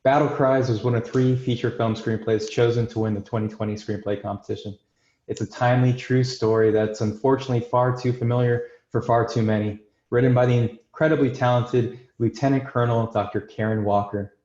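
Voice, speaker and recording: A man's voice: mid-range voice, darkish tone. A man hosting and reading scripted introductions and screenplay narration, recorded in a roomy, muffled sound. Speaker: host and stage-direction narrator. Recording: roomy, dull-sounding microphone.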